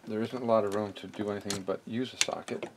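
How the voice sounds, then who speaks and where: A man narrating a hands-on repair casually, haltingly thinking aloud as he works. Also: on the low side, steady tone, very noisy. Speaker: repair hobbyist and video maker. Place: indoor cluttered electronics workbench.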